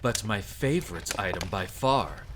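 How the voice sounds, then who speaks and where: A man's voice: on the low side, animated, a little slow, noisy background. A man playing an aristocratic vampire son in an audio drama, with pompous, sardonic diction and a mocking, theatrical manner. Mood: smugly accusing. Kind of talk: scripted audio drama acting.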